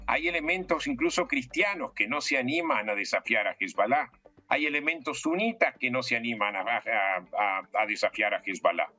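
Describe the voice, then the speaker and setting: Male political commentator, bright forceful tone, emphatic explainer, faint music underneath. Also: mid-range voice, expressive, moderate pace. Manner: emphatic, explanatory, poses rhetorical questions. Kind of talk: political analysis in an interview.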